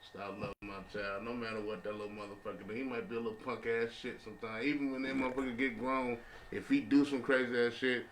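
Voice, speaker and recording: Guy talking quick on a podcast, blunt n sweary, bit of background noise. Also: medium pitch, little pitch movement. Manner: blunt, profane, slangy. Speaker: podcast panelist. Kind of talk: casual chat.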